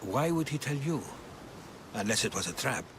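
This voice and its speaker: A man's voice: medium pitch, animated, moderate pace, noisy background, close to an everyday voice. An actor voicing a curious male historian, eager and inquisitive in a video game cutscene.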